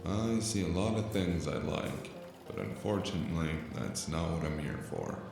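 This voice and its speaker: A man's voice: deep voice, sing-song, normal speed, vocal fry, heavily acted. A man playing a dry, weary, hesitant investigator in a cyberpunk noir audio drama. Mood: awkward, flirting. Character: male freelance investigator, dry, weary, awkwardly flirtatious noir loner. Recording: music behind the voice.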